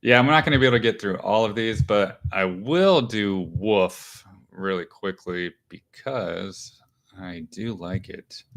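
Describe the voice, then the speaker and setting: A man hosts a live market show and walks through stock charts in a casual, conversational way. His voice sits a bit low and smooth. He thinks aloud, with fillers and small restarts between points. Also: unhurried.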